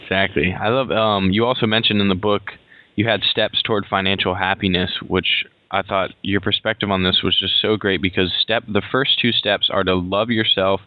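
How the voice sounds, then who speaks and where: Low male host, dry close sound, narrow lo-fi band, admiring tone. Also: fairly even intonation, normal speed, dry recording. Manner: admiring, praising the guest's book. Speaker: radio show host. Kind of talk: interview and show announcements. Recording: dry, tinny phone-like sound.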